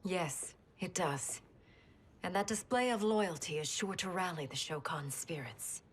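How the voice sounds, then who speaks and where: A woman voicing a poised young warrior princess in a video game, speaking calmly and respectfully. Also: lowish voice, unhurried, a little hiss or hum, natural voice. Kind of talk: video game cutscene acting.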